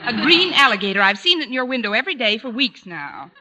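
A woman playing a wry, quick-witted schoolteacher in a classic radio sitcom, delivering dry wisecracks. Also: fairly high pitch, very animated, pushed and bright, heavily acted. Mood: wry, insistent. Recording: noisy vintage radio recording.

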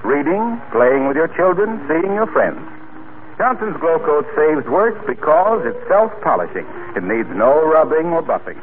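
A man announcing in 1940s radio style, delivering introductions and sponsor pitches with polished, folksy salesmanship. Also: sing-song, unhurried. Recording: narrow-band, noisy vintage radio recording.

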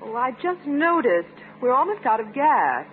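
A tinny vintage radio recording carries a gracious lady out on a date. Her voice is very high and moves expressively, with a sweet, courteous manner suited to radio comedy. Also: very animated, moderate pace, slight background noise. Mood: politely concerned. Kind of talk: old-time radio comedy acting.

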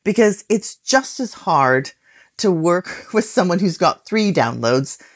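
A woman's voice: fairly low pitch, big pitch swings, slow, husky. A woman speaking as an expert guest in a conversational interview, explaining things and often checking in with short rhetorical questions. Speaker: podcast industry guest expert.

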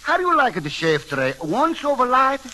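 An actor playing a chatty, excitable immigrant barber with an Italian accent and broken English, in old-time radio comedy style. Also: male voice, extremely high pitch, normal speed, heavily acted, poor audio. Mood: friendly, excitable.

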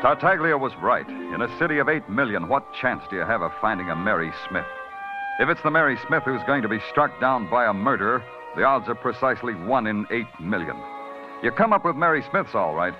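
An actor playing a hard-boiled police detective who narrates in a dry, wisecracking way in an old radio drama. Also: male voice, mid-range voice, very animated, brisk, close to an everyday voice. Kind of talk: 1940s radio acting. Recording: dull old radio recording with music.

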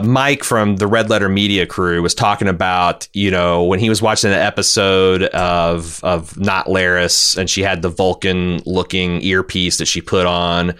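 A man with a fairly low voice hosts a casual podcast. He talks through television recaps and listener comments as an opinionated critic. His delivery is exasperated and salted with profanity. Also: a bit bright, smooth. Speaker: podcast host. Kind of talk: casual TV recap discussion, reading listener feedback.

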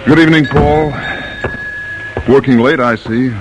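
An actor playing a grave, eloquent colonial-era doctor and patriot in an old radio drama, speaking in a measured, solemn way. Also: male voice, mid-range voice, highs cut off, noisy background, lightly acted. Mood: grave urgency.

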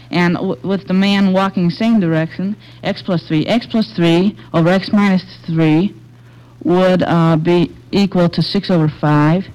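Clear-voiced boy thinking aloud. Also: high voice, darkish tone, rough recording. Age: child or young teen boy. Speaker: quiz kid contestant. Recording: vintage 1940s radio broadcast.

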